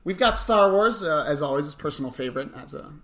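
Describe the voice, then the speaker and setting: Young male podcast co-host, higher-pitched, bright, deadpan mock-news delivery. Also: quick pace. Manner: dry, mock-serious. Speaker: co-host of a camp morning show podcast. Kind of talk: chatty news and mailbox segment.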